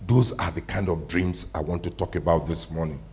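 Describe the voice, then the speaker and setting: A deep, low-pitched pastor delivers a conversational sermon, asking his congregation questions and slowing down to let key points land. He is recorded in a church hall, and the sound is muffled and a little lo-fi. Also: fairly even intonation. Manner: conversational, asks the congregation questions, slows for emphasis.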